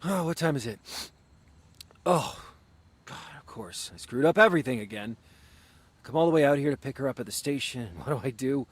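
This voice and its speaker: An actor playing an eager, awkward self-made merchant of peasant birth in a visual novel, earnest and halting, sometimes stammering. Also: male voice, mid-range voice, expressive, slightly rough, no echo. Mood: flustered. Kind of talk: naturalistic visual novel acting.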